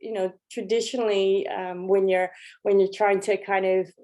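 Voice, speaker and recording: A woman gives an informal workshop talk over her slides. Her voice is mellow and soft, sitting at an everyday middle pitch. She speaks in a relaxed, chatty way, often pausing on ums and restarting her sentences. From Los Angeles. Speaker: workshop leader.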